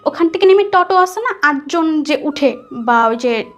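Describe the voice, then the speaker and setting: A woman's voice: sits high, expressive, a little slow. A young woman with a Bangladeshi accent telling a personal story conversationally as a guest, chatty and mixing in English words. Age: young adult. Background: soft background music.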